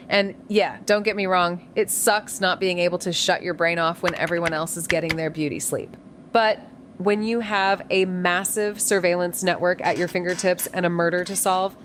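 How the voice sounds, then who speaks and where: An actress playing a sleepless, sardonic young amateur detective in an audio drama, sweary, wry and defiant. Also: female voice, lowish voice, slight background noise, natural voice. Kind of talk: first-person audio drama narration and dialogue.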